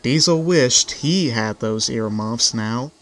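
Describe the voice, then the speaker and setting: A male narrator reads a children's story from a script. His voice sits in the middle range, with quiet music underneath. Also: mid-range voice, animated, slow.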